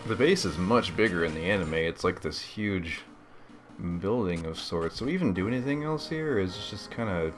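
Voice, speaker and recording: A man's voice: low-pitched, animated, normal speed, over music. A man giving casual, rambling game commentary as a let's play host, laid-back and slangy.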